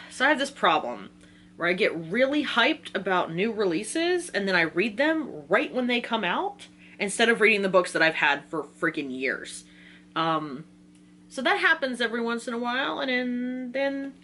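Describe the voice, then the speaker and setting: An American woman from Virginia talking casually in an excitable, rambling, slangy way, like a book vlogger. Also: on the high side, slight background noise. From Virginia, United States. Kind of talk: casual chatty video talk.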